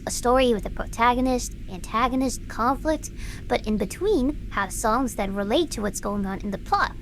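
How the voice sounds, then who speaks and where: A woman's voice: fairly high pitch, animated, normal speed, a little hiss or hum, low-quality recording. A woman playing a defiant young performer in an audio drama, intense, stubborn and earnest. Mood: eager, earnest. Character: driven, defiant young woman performer, bitter but passionate.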